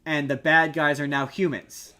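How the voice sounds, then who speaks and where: Higher-voiced man, emphatic podcast talker. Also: flat delivery, normal speed, sharp tone. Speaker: podcast host. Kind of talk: casual movie discussion.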